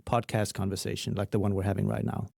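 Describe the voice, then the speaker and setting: A man's voice: lowish voice, little pitch movement, fast, gravelly, a little mellow, no room sound, clean studio sound. A man in a casual podcast discussion, explaining things in a conversational way.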